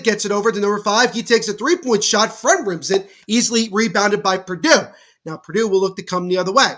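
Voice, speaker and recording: A young man from New Jersey with a stuffy cold, giving excitable play-by-play commentary on a livestream and talking to his chat. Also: high voice. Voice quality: nasal. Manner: excitable, bursts louder on big plays. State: congested from a cold.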